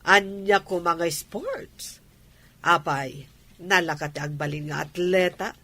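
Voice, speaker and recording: A woman's voice: lowish voice, lively intonation, slow pace, bright, forceful tone, no echo, full character voice. A woman playing a nosy, ambitious middle-aged mother in a radio drama, with an Ilocano accent. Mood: prying.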